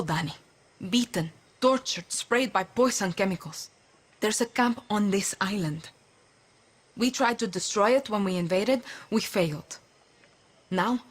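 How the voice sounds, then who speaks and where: A woman's voice: mid-range voice, natural voice. Woman acting grave guerrilla leader. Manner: grave, purposeful briefing. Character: resolute female guerrilla leader, grave and determined. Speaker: video game character. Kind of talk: video game voice acting.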